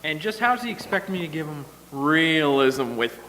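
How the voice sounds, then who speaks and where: A man acts out a grumbling, sarcastic sound effects man from a 1940s radio comedy. His delivery is animated, with big pitch swings and a bright, forceful edge. The recording has the long reverb of a hall. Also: normal speed. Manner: wisecracking, grumbling. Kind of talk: old-time radio comedy acting on stage. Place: stage in a hall, live audience performance. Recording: echoey hall reverb, hissy background noise.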